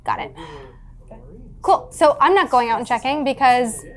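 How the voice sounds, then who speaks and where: A woman with a fairly high voice chats casually at the table. She is a player in a role-playing game, talking through her moves. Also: lively intonation, moderate pace.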